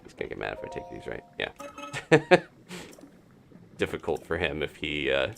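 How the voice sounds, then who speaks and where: A man's voice: rumbling low, wildly swooping pitch, normal speed, creaky, a bit bright, slight background noise. A man chatting casually through a live-streamed game, wondering aloud and laughing often. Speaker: streamer playing a video game.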